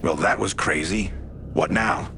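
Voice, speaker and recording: A man voicing a gruff rookie stalker who narrates in a wry, deadpan way for a video game. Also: low-pitched, unhurried, a little hiss or hum, exaggerated voice. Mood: plain, willing. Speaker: player character and narrator. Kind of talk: cinematic game voiceover, first-person narration. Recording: loud music under the voice. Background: loud music.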